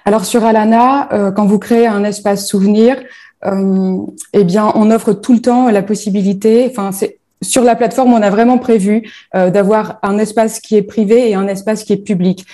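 A woman from northern France answers an interviewer's questions in a mellow, warm voice. She speaks in a halting way, with many pauses and fillers, as she explains her platform. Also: medium pitch, steady tone. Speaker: founder of a bereavement platform.

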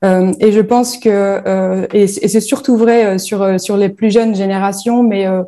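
A woman from northern France answers an interviewer's questions in a mellow, warm voice. She speaks in a halting way, with many pauses and fillers, as she explains her platform. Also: medium pitch, steady tone. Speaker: founder of a bereavement platform.

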